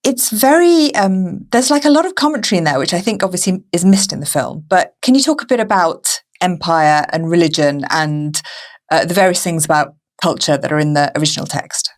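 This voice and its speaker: A woman presents a podcast in a conversational style. Her pitch sits a little lower than most women's, and she talks as a host would to guests and listeners. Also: expressive, a little fast.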